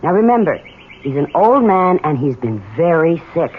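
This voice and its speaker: An anxious, expressive radio-drama wife. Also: female voice, sits low, normal speed. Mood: flustered. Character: loving, anxious wife and mother, soothing peacemaker. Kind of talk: 1970s radio drama acting. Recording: tinny, noisy old radio broadcast.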